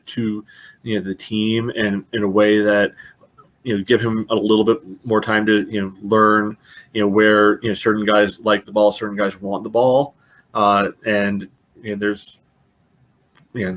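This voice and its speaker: A man casually analysing sport as a podcast host, in a halting way peppered with filler phrases. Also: fairly low pitch, a bit bright. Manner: halting, repeatedly peppers speech with "you know".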